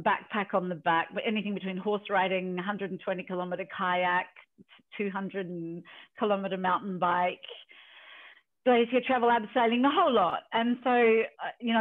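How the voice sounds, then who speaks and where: Chatty woman on a tinny video call. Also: medium pitch. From New Zealand. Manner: storytelling, chatty, quotes herself. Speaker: leadership coach. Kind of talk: casual interview over video call. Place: home office in a country house.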